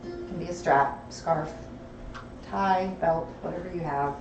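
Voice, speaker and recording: A woman teaching a gentle yoga class, calmly cueing breath and movement, her voice a little gravelly and hoarse. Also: deep voice, a little slow, dull audio. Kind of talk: gentle guided yoga instruction. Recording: roomy, distant, music behind.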